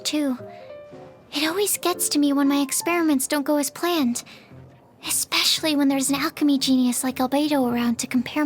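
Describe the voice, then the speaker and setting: An actress playing a timid, earnest young female alchemist in a video game, soft-spoken and apologetic, with a competitive streak. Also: high voice, lightly acted. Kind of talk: English video game voice acting.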